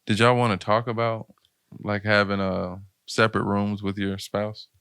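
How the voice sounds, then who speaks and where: A man's voice: fairly low pitch, level tone, moderate pace, fairly bright tone, clean tone, no echo, studio quality. A man co-hosting a podcast in casual banter, with a joking, blunt, slangy way of talking. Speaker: podcast co-host.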